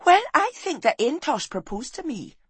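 A woman voicing a spirited, sharp-tongued clanswoman wife with a feisty, scolding manner in an audiobook. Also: sits high, sing-song, normal speed, dry recording, voice pushed up. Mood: teasing.

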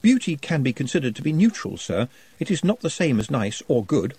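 A man voicing a quiet, earnest, humble young man in a storybook reading, speaking softly. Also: mid-range voice, big pitch swings, fairly quick, slightly rough, no room sound, pitched above the performer's own voice. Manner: soft, small, earnest.

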